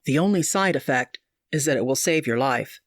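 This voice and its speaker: A woman voicing an earnest young male doctor who speaks low, grave and pleading. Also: lively intonation, moderate pace, no room sound, studio quality. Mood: urgent.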